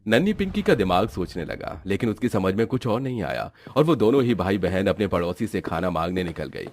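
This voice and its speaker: A man's voice: low-pitched, very animated, a little fast, darkish tone, a little hiss or hum. A man narrating a scripted cartoon story in storybook fashion.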